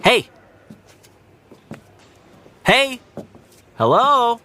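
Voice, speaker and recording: Friendly young tv star, casual low-key delivery, lively pitch, audio drama. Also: male voice, drawn-out words, heavily acted. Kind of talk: naturalistic audio drama dialogue.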